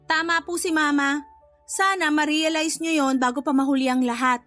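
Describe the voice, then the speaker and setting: A woman's voice: sits high, steady tone, normal speed, fairly bright tone, soft background music. A woman playing a firm, wounded adult daughter in a radio drama, polite but defiant. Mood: firm, reproachful.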